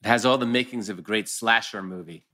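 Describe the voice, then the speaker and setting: A man playing an easygoing, loyal, gently wry middle-aged husband at a TV drama table read. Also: lowish voice, fast talker. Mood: wry, playful.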